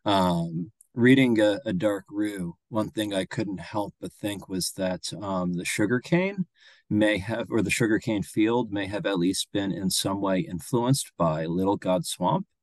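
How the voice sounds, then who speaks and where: A man in his thirties hosting an online reading Q and A, talking casually with lots of fillers and pauses, on a video call from a home office. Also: on the low side, dry recording. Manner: casual, filler-heavy, halting. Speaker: host of a reading event. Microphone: video call webcam.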